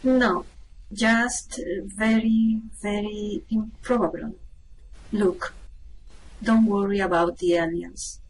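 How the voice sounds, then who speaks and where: A woman playing a calm, practical spaceship crew member in an amateur radio play. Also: mid-range voice, slow pace, poor audio. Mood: matter-of-fact. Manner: patient, matter-of-fact, reassuring. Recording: noticeable background noise.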